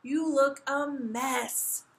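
Woman putting on a loud, exasperated storybook king. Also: on the high side, measured pace. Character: thundering, exasperated royal father, a king. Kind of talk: children's storybook read-aloud.

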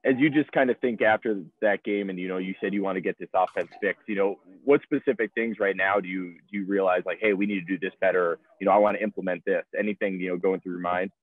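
A man's voice: sits low, steady tone, fast, few pauses. A young man in his twenties, a reporter asking loose, filler-heavy questions over a video call. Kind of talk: press conference questions. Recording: tinny phone-like line.

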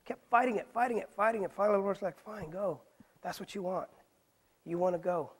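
A middle-aged male pastor preaching a sermon on a church stage, earnest and pleading, dropping soft for quoted lines and rising for emphasis. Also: high-pitched, a little fast, noisy background.

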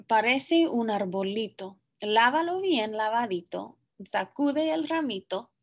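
A young woman reading a picture book aloud to children, expressive and deliberate in her phrasing. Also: fairly high pitch. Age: young adult. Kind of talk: children's story read-aloud with brief asides. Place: small indoor classroom.

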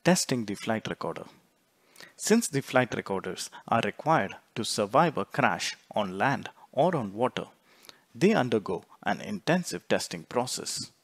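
A man reading scripted instructional narration in a measured, explanatory way, phrase by phrase. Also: lowish voice, big pitch swings, husky, no echo. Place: close, dry voice-over recording.

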